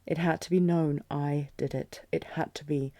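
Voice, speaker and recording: A woman's voice: sits low, steady tone, normal speed, warm tone, no echo. A woman playing a commanding, tormented queen in a fantasy audio drama, regal and restrained but intense. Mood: grave, defiant. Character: commanding, tormented queen in love with her general.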